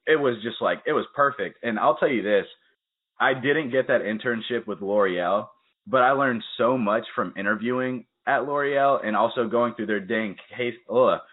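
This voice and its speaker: A man's voice: medium pitch, normal speed. A young man hosting a podcast, chatting energetically and acting out stories with quoted asides. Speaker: podcast host. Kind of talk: casual interview chat. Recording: tinny phone-like call audio.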